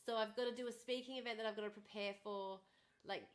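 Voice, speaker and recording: A woman's voice: mid-range voice, steady tone, fast. A woman hosting a casual livestream, chatty and bubbly, her voice starting to go after hours of talking. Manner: bubbly, chatty, thanks viewers warmly and often. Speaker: livestream host. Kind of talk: casual livestream chat with viewers.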